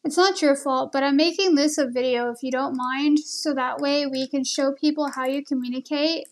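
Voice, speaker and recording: A woman's voice: sits high, steady tone, moderate pace. A woman video host talking casually in a questioning, conversational way, as if on a phone call.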